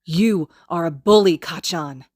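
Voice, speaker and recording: A woman voicing a shouting gentle teenage boy. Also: on the low side, a little slow, no echo, studio quality. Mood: angry pleading. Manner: shouting, pleading, tearful defiance. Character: gentle teenage boy healer, pushed to anger.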